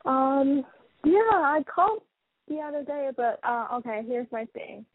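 A woman in her twenties, calling in to a radio show, talking in a shy, hesitant, halting way. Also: sits high, expressive, no room sound. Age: 20s. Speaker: caller. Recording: tinny phone line.

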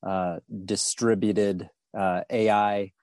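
A man speaking as a podcast guest about his career and fundraising, pausing often to restart his sentences. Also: fairly low pitch, level tone, a little mellow, clear, resonant tone, dry recording, studio quality. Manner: halting, many fillers and restarts. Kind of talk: podcast interview.

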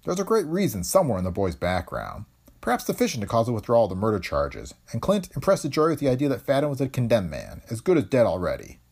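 A man reads a stern older judge in a formal, measured tone, pitched well above the reader's own low voice. The delivery swings in pitch, and the voice has a husky edge. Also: wildly swooping pitch, darkish tone, heavily acted.

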